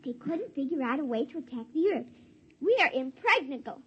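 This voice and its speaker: An actress playing an earnest, excitable little girl in 1950s radio drama, insistent and tripping over her words. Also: sits high, brisk, a little hiss or hum. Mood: earnest, eager. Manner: breathless, insistent, childlike stumbling over words. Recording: dull vintage 1950s radio recording.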